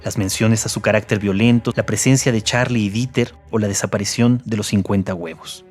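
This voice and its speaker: A man's voice: lowish voice, steady tone, brisk. A man presenting an investigation in scripted, explanatory narration. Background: soft music.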